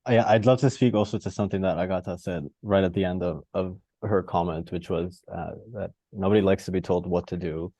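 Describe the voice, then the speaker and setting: A man from Costa Rica with a low, soft, mellow voice, recorded dry and close on a video call. He thinks out loud and rambles, full of "um" and "like" and restarts as he searches for what he means. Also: fairly even intonation, fairly quick, hardly any pauses, dry recording. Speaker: participant. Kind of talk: reflective discussion on a video call.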